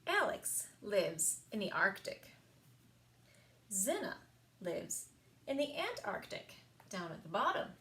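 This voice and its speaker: Slow, animated woman reading children's stories. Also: on the high side. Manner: expressive, sing-song storytelling with emphasized words. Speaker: library story time reader. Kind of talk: children's picture book read-aloud.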